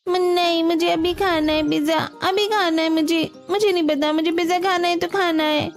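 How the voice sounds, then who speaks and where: A woman puts on a very high little boy's voice for a cartoon. He is whiny, stubborn and insistent, with soft music behind. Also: fairly even intonation, normal speed. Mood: stubborn, demanding. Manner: insistent, pleading. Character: whiny, stubborn little boy demanding pizza. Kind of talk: Hindi cartoon dialogue.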